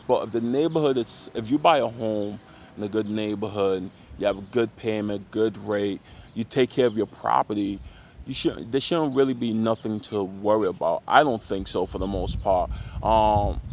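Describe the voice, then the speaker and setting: A man with a fairly low voice hosts a casual interview and keeps up a steady stream of "mm-hmm"s and "yeah"s. His questions ramble a little before they land. A faint hiss runs underneath. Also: normal speed. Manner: constant "mm-hmm" backchannels, rambling. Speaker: podcast host, real estate agent.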